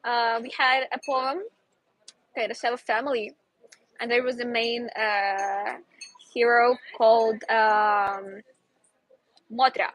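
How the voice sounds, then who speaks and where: A young Ukrainian woman speaks English in a high, bright voice as she hosts an outdoor live stream. She sounds chatty and upbeat and sometimes stops to search for the right word. The sound is dry and close. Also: level tone, slow, pauses often, no echo, rough recording. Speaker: host, English teacher. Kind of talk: casual live stream chat. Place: outdoors in a city square.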